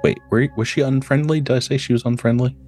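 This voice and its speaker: A man running a tabletop game as game master, reading out rules and narrating in a slow, deliberate way. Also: medium pitch, fairly even intonation, darkish tone, slightly rough, faint music behind.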